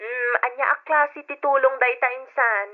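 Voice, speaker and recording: A cautious cousin performed by a woman in an old-style radio drama, with a Filipino accent and a fairly high voice. She sounds measured and doubtful as she advises, over dull lo-fi sound. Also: steady tone, natural voice. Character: cautious female cousin working abroad, sceptical, advising. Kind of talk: old-fashioned Ilocano radio drama acting.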